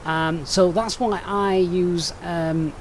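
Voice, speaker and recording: A man's voice: sits high, fairly even intonation, takes it slowly, a little hiss or hum. Chatty Sheffield man briefing a flight. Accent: Sheffield English. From Sheffield, England. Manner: methodical, chatty, reads out viewer comments. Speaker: flight sim streamer. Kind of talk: live-stream briefing and chat.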